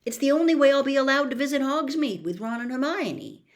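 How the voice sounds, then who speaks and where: A woman's voice: high-pitched, big pitch swings, fairly quick, voice pushed up. Woman as a put-upon, earnest teenage boy. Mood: gloomy, apologetic.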